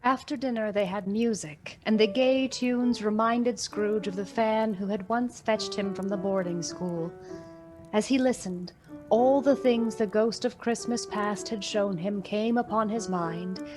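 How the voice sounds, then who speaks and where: A woman's voice: mid-range voice, lightly acted. A woman narrating a Christmas tale in a radio play, in a scripted storybook style. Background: music.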